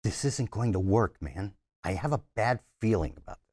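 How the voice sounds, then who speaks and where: A man's voice: on the low side, lively intonation, normal speed, a little mellow, breathy edge. A man playing a bubbly, exasperated film director in his sixties, a demanding perfectionist, in a comedy screenplay table read. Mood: anxious, frustrated.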